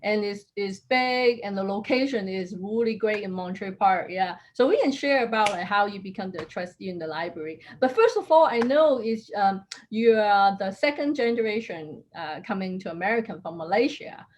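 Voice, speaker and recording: A woman hosting an interview, lively and quick to laugh. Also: mid-range voice, a little hiss or hum. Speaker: host. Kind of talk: casual interview.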